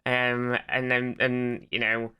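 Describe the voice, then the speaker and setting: Bright, clear podcast co-host, casually animated. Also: mid-range voice, slow. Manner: animated retelling, acts out quotes; sweary, informal. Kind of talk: casual rambling recap.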